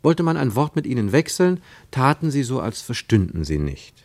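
A man narrating a literary radio play in a reflective, rhetorical manner with deliberate emphasis. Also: medium pitch, very animated, darkish tone, no echo. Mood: pensive. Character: reflective literary narrator of a postwar travel story.

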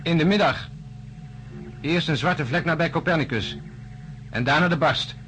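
An actor playing a seasoned astronaut in a science fiction radio drama, brisk, matter-of-fact and wry. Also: male voice, fairly high pitch, a bit bright, soft background music, lightly acted. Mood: brisk, businesslike. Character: seasoned, brisk male astronaut in charge aboard spacecraft. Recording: noisy drama recording with cabin ambience.

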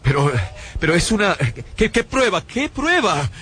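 A man's voice: sits high, very animated, measured pace, sharp tone, slight background noise, heavily acted. An actor playing a frightened, bewildered male scientist on the run in a radio drama, hesitant and alarmed. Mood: confused, nervous.

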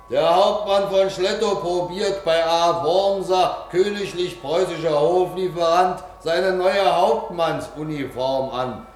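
Male narrator, 1950s radio drama; high, measured, formal delivery in a roomy studio. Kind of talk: formal scripted scene announcements. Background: music.

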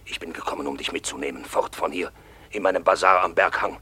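Husky, lovesick, grandiose draper. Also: male voice, sits low, fast, bright, forceful tone, heavily acted. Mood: ardent, lovesick. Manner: ardent, grandiose declaiming. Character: lovesick draper, pompously passionate dream lover. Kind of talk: literary radio play acting.

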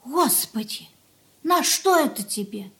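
An actress playing an anxious, meek middle-aged mother in a radio drama, with plaintive, gentle, folksy speech. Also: high voice, expressive, slow pace, heavily acted. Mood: anxious, pleading. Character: anxious, meek, pious, lamenting mother of grown son. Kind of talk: Russian radio drama acting.

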